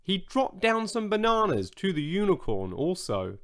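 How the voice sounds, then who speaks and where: A man narrating a children's bedtime story in a measured, expressive storytelling manner. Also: sits high. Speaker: audiobook narrator.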